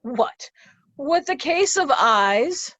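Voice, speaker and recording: A woman's voice: sits high, lively intonation, drawn-out words, fairly bright tone, no echo, heavily acted. A woman playing a grieving, blind old earl in readers' theatre Shakespeare, sorrowful and pleading.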